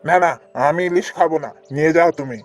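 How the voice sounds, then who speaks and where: A man's voice: on the high side, fast, faint music behind, lightly acted. A man voicing a stubborn, grumbling young village husband and elder brother in a cartoon fairy tale, scolding and complaining. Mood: annoyed.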